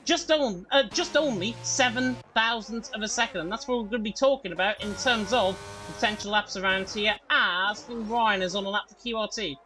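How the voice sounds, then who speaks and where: A man calling a sim racing qualifying session, his voice a little croaky from being unwell. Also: high-pitched. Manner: fast, excited play-by-play. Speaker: lead commentator.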